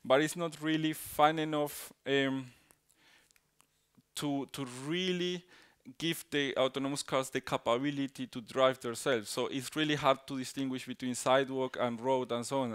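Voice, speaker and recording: Smooth-voiced Colombian man giving a talk. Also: mid-range voice, fairly even intonation. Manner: halting, many restarts and fillers, tag "right?". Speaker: conference speaker. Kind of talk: technical conference talk.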